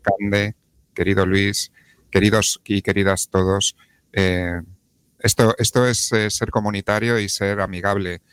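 A man's voice: on the low side, level tone, a little slow, a bit bright, smooth, dry recording. A man co-hosting and presenting a community radio programme. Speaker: radio co-host.

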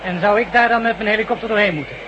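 Loud noise surrounds a jittery, complaining pilot played by a man in a radio drama. His voice is strained very high and sounds muffled on the old recording. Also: racing, lightly acted. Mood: indignant, reluctant. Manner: complaining, agitated. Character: reluctant, grumbling, jittery male helicopter pilot. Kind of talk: Dutch radio drama acting.

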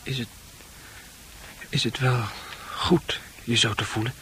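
Casting: caring, hesitant male confidant in Dutch radio drama, medium pitch, slightly hissy vintage sound. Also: level tone, takes it slowly, a bit bright. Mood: gently probing. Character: gentle, concerned male listener drawing out a confession. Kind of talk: Dutch radio play acting. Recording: hissy old radio recording.

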